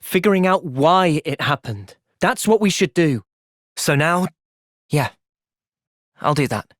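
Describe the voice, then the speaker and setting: A man playing a gentle, thoughtful young soldier hero in a video game, earnest and reflective in manner. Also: on the high side, lively intonation, takes it slowly, no echo, clean studio sound. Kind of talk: video game cutscene voice acting.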